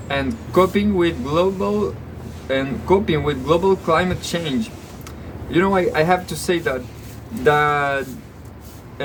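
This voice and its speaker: Halting man reading news with sarcastic asides. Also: on the high side, background noise. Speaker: news commentator. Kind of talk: reading news with off-the-cuff commentary.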